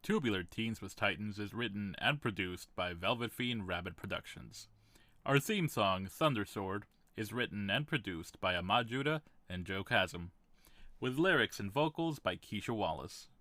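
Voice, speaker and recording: A man's voice: fairly low pitch, animated, moderate pace, bright, forceful tone, no room sound. A man reading scripted podcast credits as an announcer.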